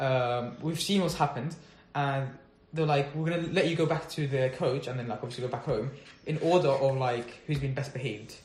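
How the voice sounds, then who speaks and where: A man from Sweden telling school stories fast in a casual podcast chat. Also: medium pitch. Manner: fast storytelling with laughs. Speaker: podcast co-host. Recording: echoey room.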